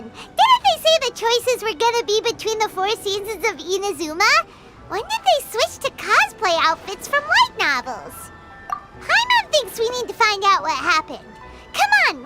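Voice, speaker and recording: A tiny, childlike fairy companion from an anime game. The voice is extremely high and squeaky, swinging with lively, exclaiming intonation over prominent music. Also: female voice, moderate pace, full character voice. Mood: puzzled. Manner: refers to self in third person, exclaiming. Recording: music behind the voice.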